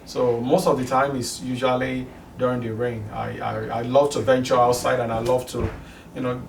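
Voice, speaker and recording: A middle-aged man from Nigeria with a Nigerian accent, speaking haltingly in a documentary interview. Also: medium pitch, flat delivery, gravelly, far from the mic, a little hiss or hum. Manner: halting, many restarts and fillers. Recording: roomy, off-mic with music underneath.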